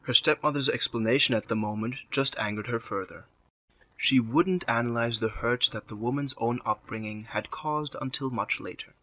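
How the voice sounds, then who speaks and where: A man's voice: medium pitch, moderate pace, bright, forceful tone. A man narrating a scripted drama story as its storyteller.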